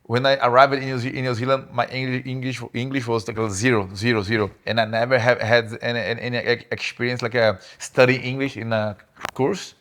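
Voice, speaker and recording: A young man, a guest on a podcast, speaking in halting English with many repeated words and frequent laughs. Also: medium pitch, level tone, a bit bright. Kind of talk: casual interview.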